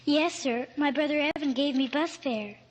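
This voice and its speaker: High-voiced, earnest little girl played by an actress. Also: moderate pace, big-room sound, natural voice. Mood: polite, hopeful. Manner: polite, insistent, simple childlike speech. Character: little girl, earnest, pleading, tearful over her lost dog. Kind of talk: old-time radio drama acting. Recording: old radio recording with faint hiss.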